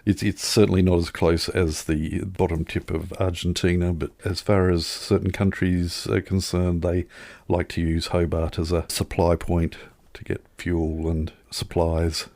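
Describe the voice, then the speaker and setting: Low, creaky-voiced wry man. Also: flat delivery, measured pace, darkish tone, dry recording. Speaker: podcast co-host. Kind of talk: casual chat, anecdotes.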